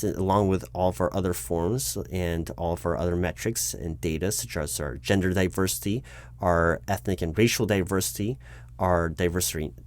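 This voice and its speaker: A man with an American accent and a fairly low voice plays a corporate recruiting manager in a one-sided roleplay. He speaks aloud in a conversational, improvised way. His delivery is hesitant and officious, often stopping and restarting as he explains procedure. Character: flustered bureaucratic male corporate recruiting manager addressing his disguised boss.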